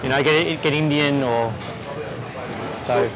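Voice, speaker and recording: Easygoing Australian man, fairly high voice. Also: steady tone, crowd noise, poor audio. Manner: easygoing, halting with many restarts and fillers. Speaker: executive chef, interview guest. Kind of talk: casual interview chat. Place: restaurant.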